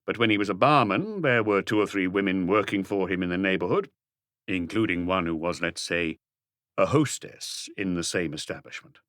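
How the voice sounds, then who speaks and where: A calm police inspector, acted by a male narrator in a detective audiobook. His voice is lowish and ironic, with probing questions, recorded very dry. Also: normal speed, no room sound.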